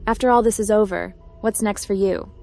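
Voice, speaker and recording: A woman's voice: mid-range voice, fairly even intonation, fairly quick, vocal fry, darkish tone, soft background music, lightly acted. A woman playing a polite, curious spacefaring adventurer in a video game. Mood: curious, friendly. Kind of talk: video game protagonist dialogue.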